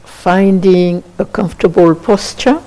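A woman guides a meditation in a dark, mellow voice that sits a little low. She speaks very slowly, offering short, sparse phrases and leaving long pauses between them. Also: poor audio. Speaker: meditation teacher.

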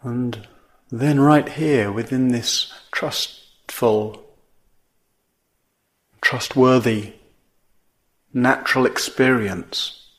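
A man teaching a guided meditation in measured phrases, with long pauses between them. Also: mid-range voice, steady tone.

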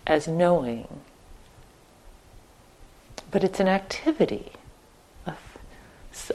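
A woman teaching guided meditation, speaking gently and leaving long pauses between short phrases. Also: low voice, poor audio. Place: meditation retreat center.

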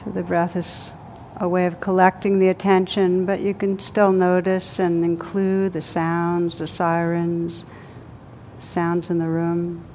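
Calm low-voiced woman guiding meditation, muffled recording. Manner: slow, calm, soothing delivery with long pauses. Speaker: meditation teacher. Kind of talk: guided meditation. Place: live group session in a room. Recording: dull lo-fi sound with rumbling noise.

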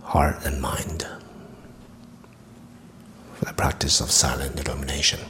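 A man teaching guided meditation, speaking softly and breathily in short, unhurried phrases with long pauses, often trailing toward a whisper. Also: rumbling low, little pitch movement, slight background noise.

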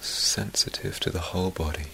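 A man teaching guided meditation in a gentle, soft-spoken manner, leaving long pauses and letting phrases trail off toward a whisper. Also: sits low, creaky, slight background noise, rough recording.

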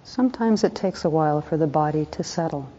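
Soft husky lady doing guided meditation, calm and unhurried, dark mellow tone, kinda muffled recording. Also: low voice. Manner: calm, unhurried, instructive, pausing between short phrases. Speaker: meditation teacher.

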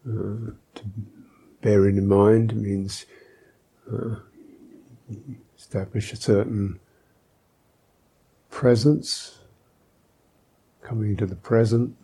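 A man with a low-pitched, very dark voice leads a guided meditation. He speaks slowly and gently, phrase by phrase, pausing thoughtfully as he instructs. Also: little pitch movement, breathy edge, rough recording. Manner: gentle, unhurried, thoughtful with long pauses. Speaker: Buddhist monk, meditation teacher.

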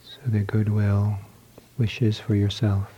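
A man leading a guided loving-kindness meditation, speaking softly and trailing off into whispers. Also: on the low side, completely flat delivery, breathy edge, highs cut off. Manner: slow, soft, trailing into whispers. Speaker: meditation teacher.

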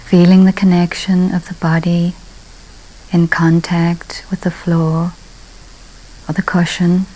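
Woman meditation teacher, flat even intonation, mellow tone, soft breathy endings, calm spacious phrasing. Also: lowish voice, rough recording. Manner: calm, unhurried, long pauses between phrases. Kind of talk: guided meditation.

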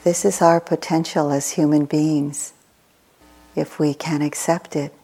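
A woman teaching a guided meditation in short, measured, soothing phrases with long pauses between them. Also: sits low, flat delivery, mellow, husky.

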